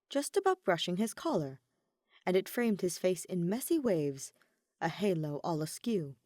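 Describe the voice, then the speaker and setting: Mellow, low-leaning woman narrating fiction. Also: no room sound, clean studio sound. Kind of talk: scripted story narration.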